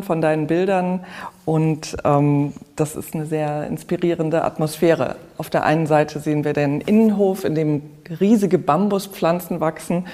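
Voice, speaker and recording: An interviewer speaking in an articulate, measured way, as in an arts feature. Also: mid-range voice, mellow. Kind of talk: arts interview with scripted introduction.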